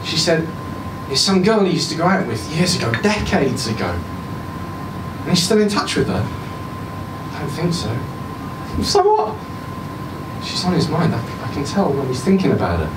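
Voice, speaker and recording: A man in his thirties reading a short story aloud to a live audience at a stand mic, giving the other speaker's lines a higher voice. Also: wildly swooping pitch, moderate pace. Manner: voices the woman's lines higher, shifts to quiet and low near the end. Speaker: story reader. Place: small bar with audience, indoors. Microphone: stand mic, filmed from a far camera. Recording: roomy bar sound from a distance with background hum.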